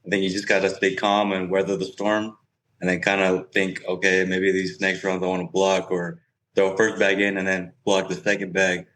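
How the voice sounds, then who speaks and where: A man's voice: low voice, monotone, moderate pace, fairly bright tone, slight background noise, poor audio. A young man speaking casually as an interview guest and pro player. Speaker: guest, professional cornhole player.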